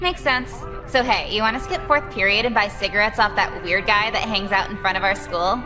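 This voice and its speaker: A woman voicing a pushy, blunt high school girl in a visual novel game, in a reverberant recording. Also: brisk, music in the background, lightly acted. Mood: pleading.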